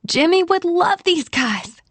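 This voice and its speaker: A woman voicing a friendly, excitable young bride-to-be who organizes her friends, in an audiobook. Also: mid-range voice, moderate pace, no echo, lightly acted. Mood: excited.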